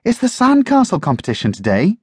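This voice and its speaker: A narrator voicing a cheerful young girl in a storybook, speaking brightly and eagerly. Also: mid-range voice, wildly swooping pitch, a little fast, husky, no room sound, studio quality, pitched above the performer's own voice, exaggerated voice. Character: cheerful young girl looking after puppies.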